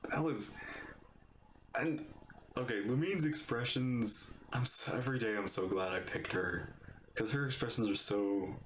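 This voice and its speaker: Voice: mid-range voice, unhurried, echoey room, slight background noise. A streamer giving casual, gushing live reactions to a game, rambling with lots of "like". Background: video game music.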